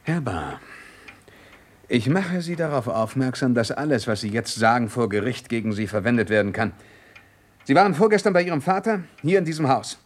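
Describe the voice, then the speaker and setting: Actor as a shrewd, commanding police inspector. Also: male voice, mid-range voice, expressive, moderate pace, natural voice. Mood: stern, accusing. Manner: probing, authoritative, dryly mocking. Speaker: actor in a crime radio drama. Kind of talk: German radio drama acting.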